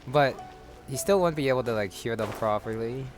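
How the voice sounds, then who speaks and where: Man as an easygoing game traveler, medium pitch. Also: lively intonation, over music, natural voice. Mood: musing. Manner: casual, halting. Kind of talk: video game voice acting.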